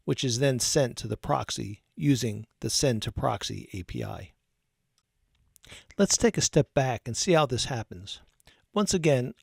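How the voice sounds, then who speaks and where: A man narrating a scripted technical tutorial as an instructor, explaining in a measured, methodical way. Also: medium pitch, creaky, dry recording, clean studio sound.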